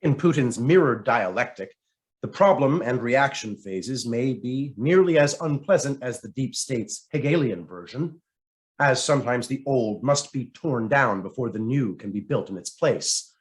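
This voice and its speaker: A man reading a written essay aloud as scripted narration, in a measured, dramatic way with deliberate pauses. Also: mid-range voice, lively intonation. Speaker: reader of a written article.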